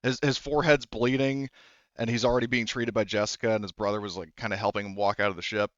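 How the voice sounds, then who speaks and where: A man's voice: fairly low pitch, level tone, fast, no room sound, muffled recording. A man working as game master, narrating a tabletop roleplaying game off the cuff.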